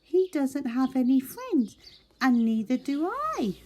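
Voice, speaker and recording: A small, eager young beaver from a children's storybook, acted out by a woman. The voice leaps high and swings about with earnest, childlike friendliness and a touch of loneliness. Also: lively intonation, slow pace, mellow, a little hiss or hum. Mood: lonely. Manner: exclaiming, earnest, childlike questioning.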